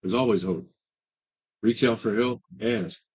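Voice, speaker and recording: A man in his fifties telling his recovery story as a treatment client, halting, with many fillers and restarts. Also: lowish voice, a little mellow, breathy edge. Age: 50s. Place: treatment center.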